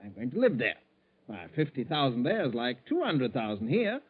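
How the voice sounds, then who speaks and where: An actor playing an earnest, overconfident bookkeeper with a secret plan, in 1940s radio drama style, with halting, insistent speech. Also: male voice, medium pitch, wildly swooping pitch, husky, phone-quality sound. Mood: smug, eager. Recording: scratchy, phone-thin 1940s radio recording.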